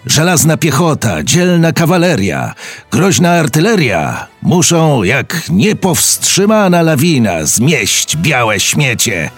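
Aktor jako chrapliwy, powolny dowódca bolszewicki. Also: male voice, on the high side, breathy edge, full character voice. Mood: wrathful, solemn. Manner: solemn, declamatory, emphatic. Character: fierce Bolshevik army commander reading a battle order. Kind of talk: dramatic reading of a historical military proclamation.

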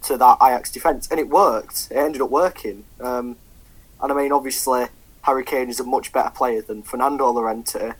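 A man's voice: medium pitch, moderate pace, slightly rough, rough recording. A young man co-hosting a casual football podcast, swearing a lot and rambling with many ums. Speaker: podcast co-host.

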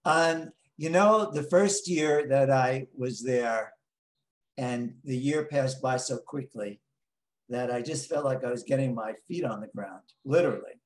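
A man in his late sixties with a New York accent, telling his story slowly as an interview guest, pausing on many ums. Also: medium pitch, echoey room, rough recording. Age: 60s. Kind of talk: interview answers.

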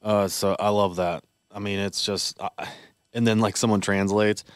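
A man's voice: fairly low pitch, flat delivery, moderate pace, no echo, studio quality. A man co-hosting a podcast in casual chat, telling stories in a rambling way full of fillers and restarts. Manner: rambling, filler-heavy storytelling. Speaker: podcast co-host.

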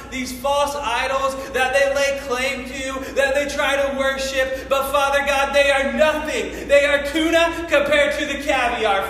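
A high, bright-voiced male pastor preaches in a loud, excitable, casual way and prays with a fervent, repeating cadence. His voice rings through a big echoey church hall, with a long reverb tail and faint room noise behind it. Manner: fervent, repetitive "Father God" prayer cadence. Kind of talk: sermon and prayer.

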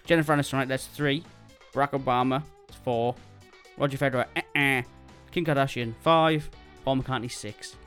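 A man's voice: medium pitch, fairly even intonation, moderate pace, fairly bright tone, soft background music. A man co-hosting a casual chat podcast, teasing and upbeat, swearing now and then. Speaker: podcast co-host.

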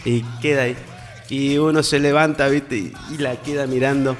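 A man's voice: medium pitch, fairly even intonation, normal speed, long reverb tail, soft background music. A man, hosting a casual radio show and reading listener messages with self-mocking banter. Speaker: radio host.

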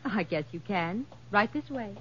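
A woman's voice: medium pitch, expressive, measured pace, close to an everyday voice. A woman playing a businesslike hospital desk nurse in a 1950s radio drama. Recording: dull, slightly noisy vintage radio recording.

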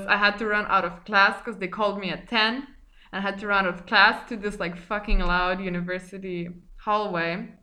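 A woman's voice: mid-range voice, pushed and bright. A young woman from Poland in her twenties with a Polish accent, co-hosting a podcast in casual, giggly, self-mocking chat with some swearing. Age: 20s. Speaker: podcast co-host.

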